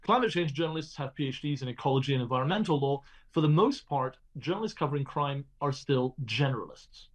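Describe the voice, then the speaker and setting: A middle-aged man speaking as an interview guest, explaining in an articulate, measured way with the odd restart. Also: medium pitch, animated. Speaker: guest, crime journalist.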